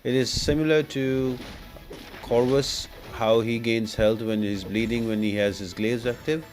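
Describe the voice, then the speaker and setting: A man's voice: mid-range voice, steady tone, unhurried, darkish tone. A man giving casual, explanatory walkthrough commentary as the host of a gaming guide. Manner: explanatory, instructional. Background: music.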